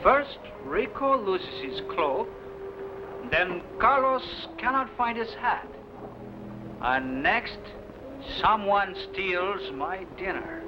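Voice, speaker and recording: An actor playing an angry, menacing sailor in a vintage adventure serial. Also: male voice, high voice, animated, noisy background. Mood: brooding, vengeful. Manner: slow, menacing, theatrical. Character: angry hungry Spanish sailor threatening a food thief. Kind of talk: 1950s science fiction serial acting. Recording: dull vintage broadcast with music behind.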